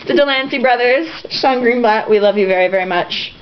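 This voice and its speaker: A woman's voice: a little high, brisk. A woman reading a novel aloud informally, breaking off for giggly, sarcastic, profane asides. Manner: irreverent, giggly, sarcastic commentary. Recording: muffled, lo-fi home recording with background noise.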